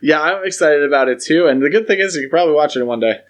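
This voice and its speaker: A man's voice: fairly high pitch, expressive, racing, muffled recording. A man co-hosting a podcast, talking casually and keenly as a fan in loose, hedging conversation. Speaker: podcast co-host.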